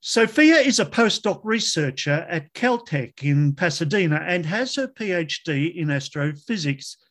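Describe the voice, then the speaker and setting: A fairly high-pitched man hosts a science interview show. He reads his introductions with bright enthusiasm and asks his questions in a lively, upbeat way. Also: a little slow. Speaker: podcast host.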